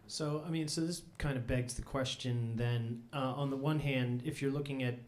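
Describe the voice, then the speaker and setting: A man's voice: mid-range voice, fairly even intonation, moderate pace, a little hiss or hum. Male interviewer, mid-range voice, thoughtful and long-winded. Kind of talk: interview questions.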